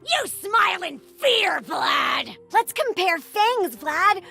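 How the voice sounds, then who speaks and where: A woman voicing a blood-hungry young female warrior for a video game, playful and prone to frenzied shouts. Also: very high-pitched, sing-song, takes it slowly, creaky, faint music behind, full character voice.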